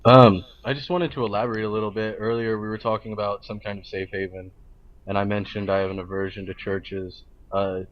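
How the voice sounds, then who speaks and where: A man chatting casually as a roleplay player, recorded over a lo-fi voice chat. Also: fairly low pitch. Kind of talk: casual chat about own character. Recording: dull lo-fi voice chat.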